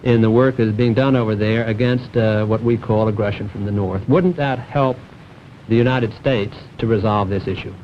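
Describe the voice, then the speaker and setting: A man, a reporter, putting a quiet, questioning case to the guest. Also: on the low side, fairly even intonation, normal speed. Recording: dull distant archival recording with hiss.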